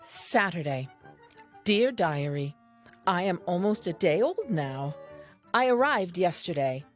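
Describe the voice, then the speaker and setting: A woman's voice: lowish voice, expressive, slow, faint music behind. An actress playing a curious, earnest, newly created first woman, reading her diary aloud with naive, precise self-assurance in an audio play. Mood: wondering.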